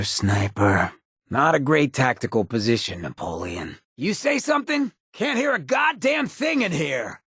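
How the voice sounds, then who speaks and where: An actor playing a roguish, irreverent ghoul mayor in a video game, with a raspy voice, an American accent and a streetwise, sardonic drawl. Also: male voice, medium pitch, fairly bright tone, dry recording, clean studio sound, full character voice. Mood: alarmed, irritated. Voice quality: raspy, gravelly. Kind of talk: video game character dialogue.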